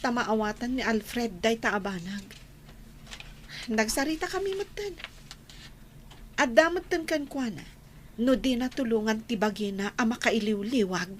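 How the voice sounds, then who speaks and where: A woman's voice: a little high, measured pace, pauses often, slight background noise, natural voice. A woman acting a firm, emotional young student who turns down a suitor in an Ilocano radio drama. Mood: firm, frustrated.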